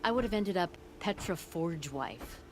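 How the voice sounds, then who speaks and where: Brash, low-voiced forge boss. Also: female voice, expressive, unhurried, background noise. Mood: boastful, amused. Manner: blunt, wry, folksy boasting. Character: brash, proud older forge boss woman, gruffly humorous. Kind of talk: video game character acting. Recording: music under the voice.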